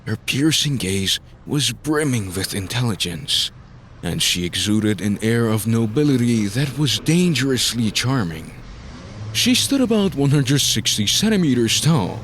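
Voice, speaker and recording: A man's voice: medium pitch, very animated, unhurried, fairly bright tone, a little hiss or hum. A man narrating a scripted story in a dramatic style, as the narrator of an audio drama.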